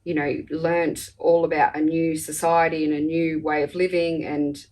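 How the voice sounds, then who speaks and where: A woman's voice: deep voice, little pitch movement, moderate pace, darkish tone, distant mic, a little hiss or hum. A woman talking in a casual conversational way as a podcast interviewer.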